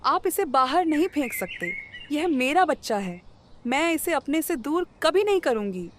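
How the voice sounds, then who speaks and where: A woman's voice: high-pitched, sing-song, unhurried, slight background noise. A woman playing a devoted, protective queen and mother in a cartoon story, pleading emotionally. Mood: desperate, pleading. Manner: imploring, emotional. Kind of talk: animated moral story acting.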